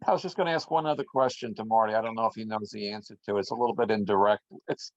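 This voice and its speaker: A man's voice: fairly low pitch, racing, dry recording. A man casually asking questions as a club member at an online meeting, over a video call. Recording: dull lo-fi video call audio.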